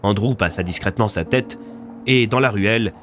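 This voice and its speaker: Un homme qui raconte une fiction audio, en narration dramatique écrite. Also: male voice, on the low side, normal speed, fairly bright tone, slight background noise. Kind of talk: scripted dramatic story narration.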